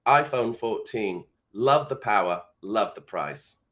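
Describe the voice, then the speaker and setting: A man's voice: lowish voice, expressive, slow, a bit bright. A man voicing a commercial announcer with an English accent. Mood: polished sales. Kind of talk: commercial voice-over read.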